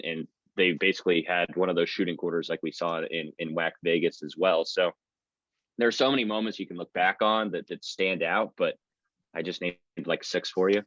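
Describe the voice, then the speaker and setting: A low-voiced man talks sports in a conversational, discursive way, like an announcer being interviewed. The sound is a bit dull and lo-fi. He goes on at length, rambling from point to point. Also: fairly bright tone, no echo. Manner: talkative, discursive.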